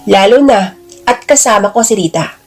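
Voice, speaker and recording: A woman's voice: mid-range voice, normal speed, soft background music, natural voice. A woman voicing a flirtatious, chummy man who banters and gushes in an exclamatory, teasing way for an audio drama. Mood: chummy, wistful.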